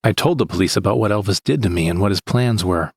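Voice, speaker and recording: A man's voice: fairly low pitch, quick pace, slightly rough, no echo, clean studio sound. A man playing a frightened, bitter victim who recounts his ordeal in plain, short sentences for an audio drama monologue. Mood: grave recounting. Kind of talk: first-person scripted audio drama monologue.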